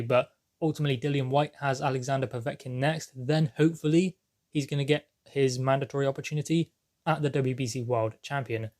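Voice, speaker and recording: A man's voice: mid-range voice, a little mellow. A man presenting boxing news commentary, explaining things in an emphatic, repetitive way.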